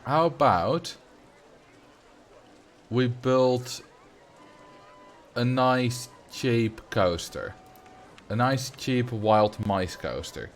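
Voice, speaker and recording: A man's voice: mid-range voice, animated, extremely slow, pauses often, a little hiss or hum. A Dutch man with a mild Dutch accent, chatting casually through a game livestream and answering his chat. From Netherlands. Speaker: streamer. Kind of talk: casual livestream commentary while playing a game.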